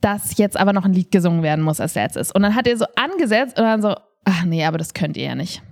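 Animated woman telling stories casually. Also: medium pitch, fast, creaky. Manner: animated storyteller, acts out quoted dialogue. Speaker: co-host of a theatre podcast. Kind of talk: casual conversational storytelling.

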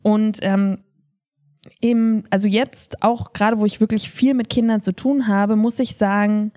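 Eine Frau in ihren Dreißigern spricht als Co-Moderatorin eines Podcasts locker, offen und nachdrücklich. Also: female voice, mid-range voice, steady tone, a little slow, warm tone, no echo, studio quality. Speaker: podcast co-host, improv performer. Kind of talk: casual conversational podcast talk.

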